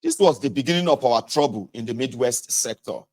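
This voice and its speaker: A man's voice: mid-range voice, lively intonation, fairly quick, darkish tone. A man with a Nigerian accent reading a book aloud and adding conversational asides, speaking directly to listeners and sometimes correcting himself. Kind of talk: read-aloud narration with casual asides.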